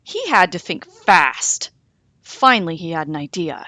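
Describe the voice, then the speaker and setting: A woman reading a children's story aloud in a dramatic, animated way with deliberate pauses. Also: fairly low pitch, dry recording.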